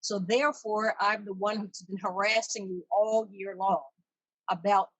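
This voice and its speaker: A woman's voice: mid-range voice, fairly even intonation, a little slow, muffled recording. Woman, event chair, chatty, self-deprecating, casual welcome, tablet video call, little room echo. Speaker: exhibition chair hosting a virtual meeting. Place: online video meeting.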